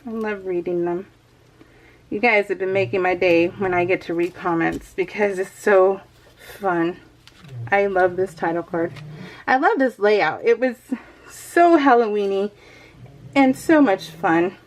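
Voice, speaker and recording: A woman chatting casually to her viewers as a craft vlogger, in an excitable, rambling, giggly way. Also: medium pitch, unhurried, low-quality recording. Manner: addresses viewers familiarly. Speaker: craft vlogger hosting a scrapbooking video.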